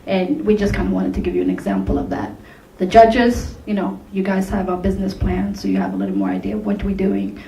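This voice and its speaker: A woman pitching her company to judges in an upbeat way, with laughing asides. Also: sing-song, brisk, mellow, slight background noise. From Tunisia, raised in Sweden. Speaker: contestant presenting her company. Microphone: distant from the recording mic. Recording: echoey hall recorded from far off.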